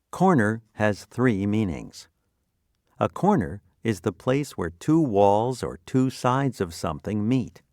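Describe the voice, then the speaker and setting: Man, low pitch, animated intonation, scripted educational narration, deliberate phrasing, lesson reader. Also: no room sound, clean studio sound. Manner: deliberate, clearly separated phrases.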